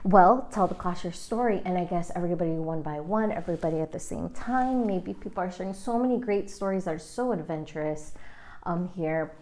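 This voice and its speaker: A woman's voice: fairly low pitch, fairly quick, a little mellow. A woman reading a children's picture book aloud and chatting about it as she goes, thinking aloud with frequent fillers. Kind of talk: read-aloud with casual commentary.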